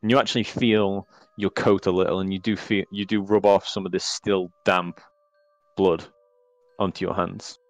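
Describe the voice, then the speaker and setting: A man narrating a tabletop horror game as game master, in a relaxed and wry way with many fillers. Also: sits low, moderate pace, no room sound, dull audio, soft background music.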